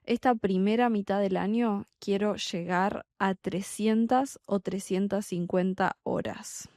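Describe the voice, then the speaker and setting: A young adult woman from Argentina with a Buenos Aires accent, a Spanish tutor talking slowly and deliberately in a podcast for learners. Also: medium pitch, fairly even intonation, a little mellow, no room sound, clean studio sound. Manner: slow, deliberate, clearly spaced phrasing. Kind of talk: slow podcast monologue for learners.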